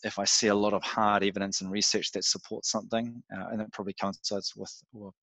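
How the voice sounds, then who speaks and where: A New Zealand man with a slightly low voice recounts things in an unhurried, casual way. He thinks out loud, filling pauses with um and you know. The sound is dry and close, with no room echo. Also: little pitch movement. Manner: reflective, with frequent um and you know fillers. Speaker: podcast guest. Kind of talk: casual interview chat.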